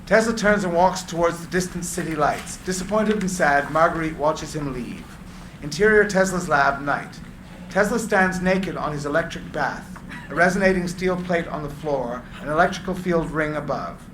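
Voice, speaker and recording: A man reading screenplay stage directions aloud as a narrator, in deliberate, theatrical phrases. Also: fairly high pitch. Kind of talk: dramatic live script reading. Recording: echoey room with clear background noise.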